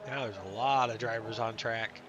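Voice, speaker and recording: A man's voice: medium pitch, fairly even intonation, moderate pace, background noise. A man with a stuffy, nasal voice from a cold, doing chatty play-by-play commentary for an online race broadcast and reading out lap times. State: congested, under the weather. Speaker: sim racing commentator.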